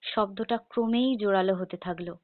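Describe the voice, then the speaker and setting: A woman reading a scripted first-person ghost story in a measured, dramatic storytelling manner. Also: medium pitch, dry recording. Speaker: horror story narrator. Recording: tinny phone-like narrow band.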